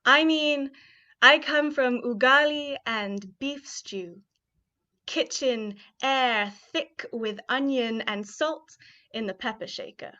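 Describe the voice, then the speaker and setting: A woman's voice: sits high, slow, pushed and bright. Young woman from kenya hosting a poetry livestream, chatty and upbeat, muffled webcam sound. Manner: upbeat, chatty, with fillers between remarks. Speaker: host of an online poetry showcase. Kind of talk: live-stream hosting and spoken-word poetry reading. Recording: dull, muffled live-stream audio.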